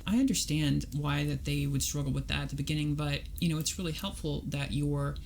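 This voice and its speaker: A woman in a relaxed podcast chat, her voice carrying a gravelly fry as she works through ideas point by point. She explains patiently and logically, with a faint hiss of noise in the background. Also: deep voice, a little fast. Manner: reasoned, explanatory, talks through arguments step by step. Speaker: podcast guest. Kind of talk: casual conversational interview.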